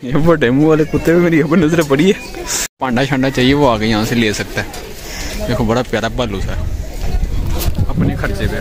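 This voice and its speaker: A man's voice: medium pitch, moderate pace, crowd noise. A young man with a Lahori Pakistani accent chatting casually to viewers in a jokey, slangy, exclaiming way as he vlogs. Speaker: vlogger. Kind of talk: casual vlog chat, showing things to viewers. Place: outdoors in a busy street market.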